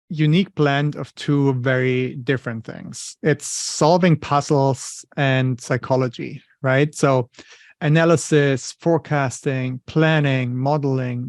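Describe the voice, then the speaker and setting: A man's voice: medium pitch, no echo, clean studio sound. A man in his thirties from Germany with a German accent, talking as an interview guest in a thoughtful, explanatory way with many "you know" fillers. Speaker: interview guest, finance leader. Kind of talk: conversational interview.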